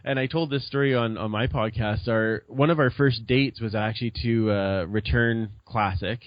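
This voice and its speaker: A middle-aged man chatting as a podcast guest, halting with many fillers and restarts. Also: medium pitch, steady tone, no echo. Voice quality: loud voice. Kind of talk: casual chat. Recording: dull lo-fi sound.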